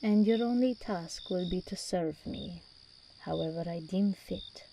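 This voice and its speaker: A woman's voice: fairly low pitch, measured pace, gravelly, warm tone, a little hiss or hum, rough recording. A woman playing an imperious, doting and possessive demon princess in an ASMR roleplay, speaking with regal, teasing endearments. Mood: seductive, possessive.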